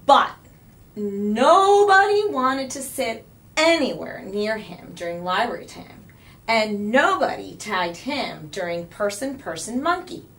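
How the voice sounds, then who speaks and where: A woman reads a children's story aloud as storyteller. She takes her time, leaving dramatic pauses and stressing key words. Her pitch is very lively, moving widely across each phrase. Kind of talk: expressive children's read-aloud narration.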